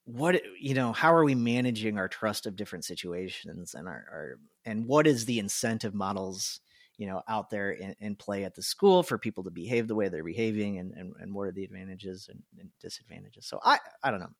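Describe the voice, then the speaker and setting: A man's voice: fairly low pitch, lively intonation, brisk, dry recording. A man hosting a podcast, thinking aloud in long, searching sentences into a close microphone. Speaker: podcast host. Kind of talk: casual podcast chat.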